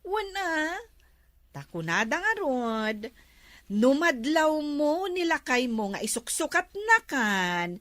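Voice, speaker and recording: A woman's voice: high-pitched, sing-song, slow pace, a bit bright, dry recording, heavily acted. A woman with an Ilocano accent acting a vain, giggly younger village beautician in a comedy skit. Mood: coy, confident.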